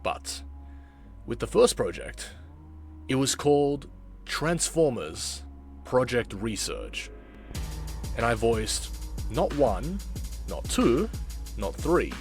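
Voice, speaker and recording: A man narrating a reflective vlog in a deliberate way, with dramatic pauses and emphatic repetition. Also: mid-range voice. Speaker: video creator, former amateur voice actor.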